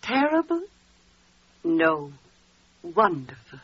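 A woman's voice: low-pitched, sing-song, takes it slowly, a bit bright, breathy edge, dry recording, full character voice. An actress playing an earnest, resolute older Judean mother in dramatic 1940s radio style, on a dull old recording. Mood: awed.

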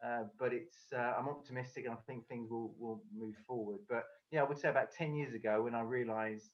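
A man's voice: medium pitch, steady tone, brisk. Hesitant English man on a dull video call. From England. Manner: hesitant, many fillers and restarts. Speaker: guest, speaker coach. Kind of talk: casual conversational interview.

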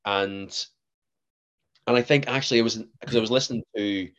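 A man in his twenties with a Northern Irish accent, an actor chatting as a podcast guest, lively and acting out what others said to him. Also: on the low side, moderate pace, dull audio. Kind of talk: casual interview chat.

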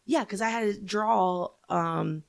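Young Southern woman, podcast guest, animated storyteller with a gravelly voice. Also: medium pitch, takes it slowly. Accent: Southern American. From Jacksonville, Florida. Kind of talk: casual chat.

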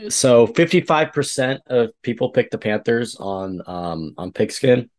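A man's voice: medium pitch, animated, measured pace, darkish tone. A man co-hosting a casual sports talk show, chatty and full of fillers as he analyzes a game. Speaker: podcast co-host.

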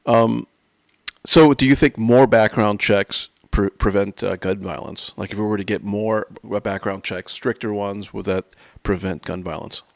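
Gravelly, low-voiced American man interviewing. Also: fairly even intonation, a little slow, no echo, studio quality. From United States. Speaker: interviewer, host. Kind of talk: casual conversational interview.

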